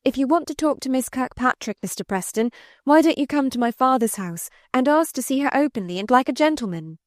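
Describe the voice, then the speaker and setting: A woman's voice: high voice, lively intonation, a little fast, no room sound, studio quality, pitched above the performer's own voice. A woman voicing an earnest, forthright young woman in a storybook reading, direct and proper in manner. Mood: firm. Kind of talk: dramatised audiobook reading.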